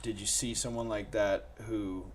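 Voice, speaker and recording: Lowish-voiced man, casual podcast co-host. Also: fairly even intonation, unhurried. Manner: slangy, informal. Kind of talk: casual chat. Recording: faint background room noise.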